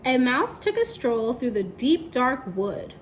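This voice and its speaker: A woman teacher reading a picture book aloud to children, expressive and encouraging, asking her young listeners questions. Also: high-pitched, slow pace. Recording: roomy echo with faint background noise.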